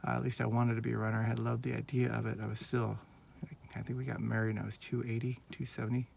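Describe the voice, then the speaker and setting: A man's voice: mid-range voice, little pitch movement, fast talker, creaky, darkish tone, slight background noise. A man in his forties from Northern California, talking in an earnest, rambling, heartfelt way in a casual personal vlog, recorded on a handheld phone. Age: 40s. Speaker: vlogger.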